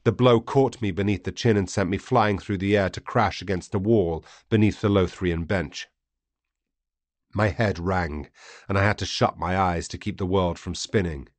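A man reading a first-person story as an audiobook narrator, grave, theatrical and deliberate. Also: low voice, dry recording.

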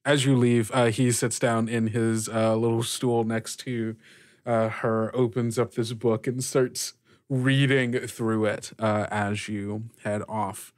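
A man narrating a tabletop game as game master, improvising phrase by phrase in a halting way. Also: fairly low pitch, flat delivery, no room sound, clean studio sound.

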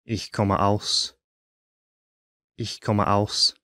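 A man teaching a language course, saying each short phrase twice in slow, careful drills. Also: fairly low pitch, flat delivery, a bit bright, no room sound, clean studio sound.

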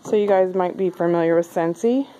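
A woman's voice: lowish voice, fairly quick, mellow, low-quality recording. A woman casually vlogging a walk-through of a craft bazaar, chatty and upbeat with lots of fillers. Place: indoor craft bazaar hall.

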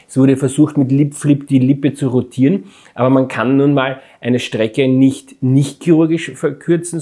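A man's voice: mid-range voice, darkish tone. Austrian man explaining like a presenting doctor. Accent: Austrian German. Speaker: plastic surgeon and video presenter. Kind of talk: explanatory presentation to camera and surgery commentary. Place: small bright office indoors.

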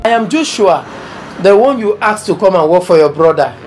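Actor as a confused, pleading young man, high and lively voice. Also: normal speed, music in the background. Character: bewildered young man rejected everywhere. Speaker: job seeker.

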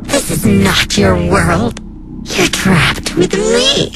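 An actor in an animated comic dub playing a menacing, possessive otherworldly captor who taunts in slow, drawn-out phrases. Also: mid-range voice, vocal fry, full character voice. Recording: voice under loud music.

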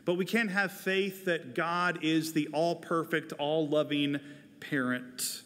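A man's voice: a little high, steady tone, takes it slowly, fairly bright tone. American man preaching in a reverberant hall. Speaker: pastor. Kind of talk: sermon. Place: church sanctuary, at a pulpit. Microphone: lapel microphone. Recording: reverberant large church hall.

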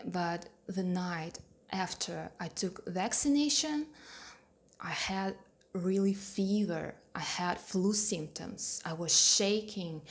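A young woman from Russia with a thick Russian accent, speaking careful, slightly halting English in a personal vlog to camera. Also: medium pitch, reverberant. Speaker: vlogger. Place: small home room with a piano. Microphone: handheld clip-on mic held near the mouth.

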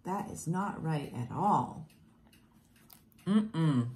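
A woman whose first language is Portuguese reads picture books aloud to children in a gentle, encouraging, singsong way. She is filmed at home on a close webcam. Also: low voice, lively intonation, drawn-out words. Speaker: teacher reading picture books. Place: home room with plants, indoors. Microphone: webcam at close range.

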